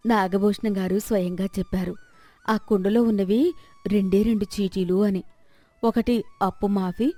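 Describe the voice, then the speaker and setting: A clever village wife in a moral tale, played by a woman, speaks calmly and confidently. Her mid-pitched voice is composed and reassuring, recorded very dry. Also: no echo, lightly acted. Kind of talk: dramatized moral story.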